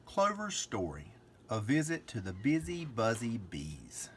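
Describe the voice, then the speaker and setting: Male children's storybook reader, mid-pitched with animated intonation, slight background noise. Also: measured pace. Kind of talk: children's story read-aloud.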